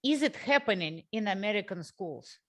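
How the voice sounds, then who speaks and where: A woman's voice: mid-range voice, lively intonation, a bit bright. A woman who is not a native English speaker, hosting a reflective conversation in an earnest way, repeating phrases for emphasis. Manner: slow, earnest, repetitive emphasis. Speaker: host.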